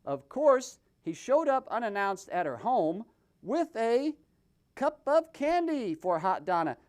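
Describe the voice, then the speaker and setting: A man's voice: very high-pitched, sing-song, takes it slowly, darkish tone. A man hosting a comic puppet show, telling the story in a mocking, theatrical and improvised way. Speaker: host and puppeteer.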